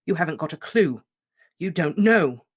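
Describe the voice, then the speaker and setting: A reader voicing a grieving teenage boy wizard, shouting and shaking with rage. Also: medium pitch, expressive, normal speed, husky, voice pushed up. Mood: raging. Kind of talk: audiobook character reading.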